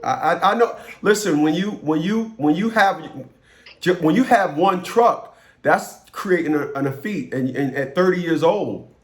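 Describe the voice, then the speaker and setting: A man with a somewhat high voice speaks as a coach in a casual one-on-one consultation. He is instructive and quick to interject, often restarting a phrase several times before landing it. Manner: interjecting, instructive, repeating opening words. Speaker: host, relationship and family-court coach.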